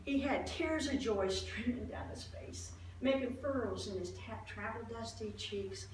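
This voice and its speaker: In a very echoey space, some way from the mic, a woman acts out an older priest's wife from a Bible story. She is devout, excitable and full of awe. She tells her tale in a chatty, halting, expressive way, breaking into exclamations. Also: a little high, natural voice. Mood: fond, reminiscing. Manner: conversational, expressive, halting with restarts and acted-out exclamations. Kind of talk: first-person dramatized Bible monologue, storytelling. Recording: roomy echo, distant mic, faint noise.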